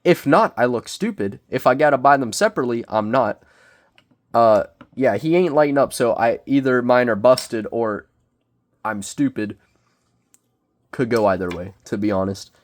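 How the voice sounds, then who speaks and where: A young man with a cold, sniffling as he reviews a collectible figure in casual, self-deprecating, excitable rambling. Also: medium pitch, normal speed. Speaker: toy reviewer. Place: small bedroom.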